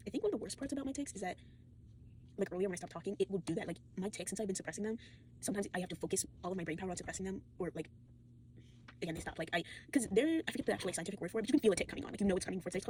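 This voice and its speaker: Fast-rambling tired teenage girl. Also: medium pitch, a little mellow, a little hiss or hum. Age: late teens. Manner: fast, rambling, full of fillers and restarts, swears often. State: fatigued and sore. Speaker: vlogger. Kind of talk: rambling casual monologue.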